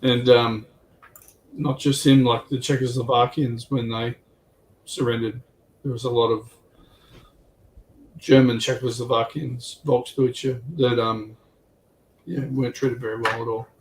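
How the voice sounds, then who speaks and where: A man with a medium-pitched voice, talking casually as a livestream panellist. There is some faint noise behind him. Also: level tone, a little slow, long pauses. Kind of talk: casual chat. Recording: clearly noisy background.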